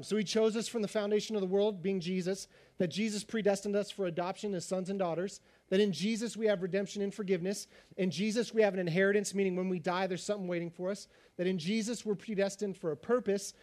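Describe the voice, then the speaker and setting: A man with a high voice delivers a sermon at a quick, energetic clip. His voice is slightly raspy. He keeps a lively, conversational tone with his congregation. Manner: fast-talking, animated preacher. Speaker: pastor.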